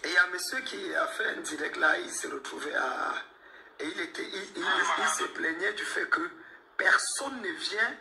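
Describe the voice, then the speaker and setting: A man's voice: sits high, animated, moderate pace, vocal fry, bright, forceful tone, a little hiss or hum. A man giving insistent informal commentary, often restarting his phrases, heard in a replayed video clip.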